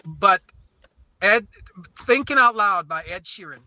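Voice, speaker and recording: A man with a high, very bright and sharp voice gives a casual interview as a music artist. He is effusive and halting, often doubling back on his words as he talks. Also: lively intonation, dry recording, poor audio. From St. Croix and New Orleans.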